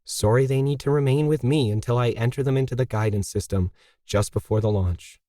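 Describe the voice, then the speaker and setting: A stubborn, tired woman artist explains herself insistently in a science fiction audiobook, as a male narrator plays her. The delivery is medium in pitch and dry. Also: a little fast, mellow, no echo, lightly acted. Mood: weary, insistent.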